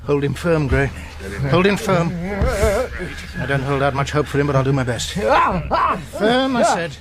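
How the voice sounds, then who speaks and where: A British actor playing a stern, urgent gentleman ship's doctor in a radio drama. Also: a little high, sing-song, unhurried, crowd noise. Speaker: doctor character.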